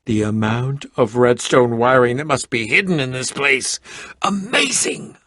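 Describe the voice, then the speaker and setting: An actor voicing an eccentric, prickly and curious middle-aged adventurer in a video game, with a pompous, theatrical manner and formal wording. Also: male voice, mid-range voice, animated, a little slow, bright, forceful tone, dry recording, clean studio sound. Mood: curious, wry, then excited.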